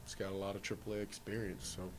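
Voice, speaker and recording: A man's voice: on the low side, level tone, fast talker, slight background noise. A man in his forties with a Canadian accent, a baseball manager answering postgame questions in a plain, matter-of-fact way in an indoor hallway. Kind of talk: postgame press interview. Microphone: handheld reporter mic.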